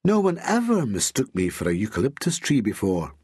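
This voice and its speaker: A man's voice: on the low side, wildly swooping pitch, fairly quick, darkish tone, breathy edge, no room sound, studio quality, full character voice. A man voicing a teasing, seductive woman doctor with a posh Glaswegian accent and a crooning, playful manner.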